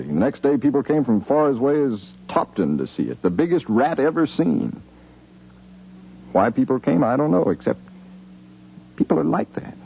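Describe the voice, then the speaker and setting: An actor playing a stern, world-wise American police chief in a 1950s radio drama, commanding and plain-spoken. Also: male voice, medium pitch, creaky. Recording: noisy, muffled 1950s radio recording.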